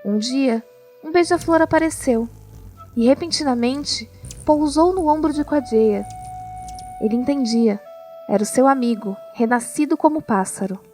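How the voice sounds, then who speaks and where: A woman's voice: high-pitched, moderate pace, dark tone. A woman with a Brazilian accent narrating a scripted audio drama as storyteller. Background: music.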